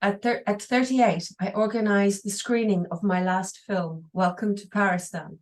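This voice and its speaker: Woman reading a translation, steady and careful. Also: medium pitch, normal speed. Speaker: interpreter. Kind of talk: reading an English translation aloud.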